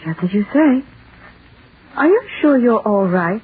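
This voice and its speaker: A woman's voice: fairly high pitch, big pitch swings, unhurried, breathy edge, heavily acted. A woman playing a dutiful, concerned secretary in an old-time radio drama, speaking politely and earnestly with deference. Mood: anxious. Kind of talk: 1930s-40s radio drama acting. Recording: muffled, tinny old-time radio recording.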